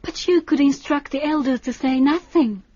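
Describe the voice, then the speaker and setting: A woman as young Japanese islander, high voice, gentle, earnest radio-play delivery, dull sound. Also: moderate pace, no room sound, lightly acted. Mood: pleading. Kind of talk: radio drama acting. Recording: dull, faintly noisy radio recording.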